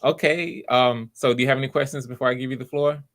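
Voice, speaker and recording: A man's voice: mid-range voice, steady tone, fast, a bit bright, a little hiss or hum. A man hosting auditions in a casual, encouraging way, often repeating his words. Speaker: audition host.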